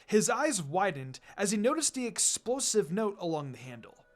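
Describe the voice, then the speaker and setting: Lively, higher-pitched man narrating a story. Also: normal speed, faint music behind. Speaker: narrator, fan fiction reader. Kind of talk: scripted story narration, dramatic.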